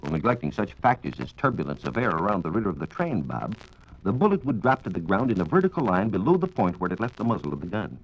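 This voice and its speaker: Male American science reporter, 1940s radio style, lowish pitch, formal precise delivery, dull lo-fi vintage recording. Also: sing-song, fast talker, husky. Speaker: science reporter answering listener questions. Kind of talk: 1940s radio scripted explanation.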